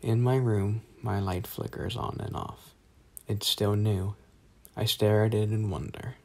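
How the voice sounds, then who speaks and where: A man's voice: on the low side, steady tone, a little slow, creaky. A young man, a student storyteller, reciting a scripted poetic monologue. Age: young adult.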